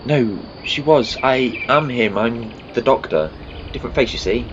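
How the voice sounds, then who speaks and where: A man's voice: mid-range voice, lively intonation, measured pace, lo-fi sound, background noise, natural voice. A man playing an eccentric, impatient alien time traveler in an audio drama, with a flustered, blunt delivery. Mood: matter-of-fact.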